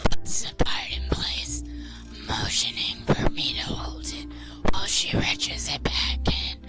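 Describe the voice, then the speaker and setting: A woman guesting on a let's play, reading game text aloud in a casual, halting way, with some hushed phrases. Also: in a whisper. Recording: music playing behind the voice. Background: music.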